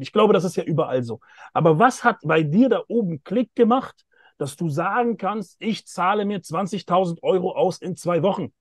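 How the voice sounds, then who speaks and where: A man's voice: high voice, no echo, clean studio sound. A man in his thirties hosting a video-call interview outdoors, talking emphatically and repeating phrases for stress. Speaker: host. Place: outdoors by a pool with greenery. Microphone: phone or laptop camera, video call.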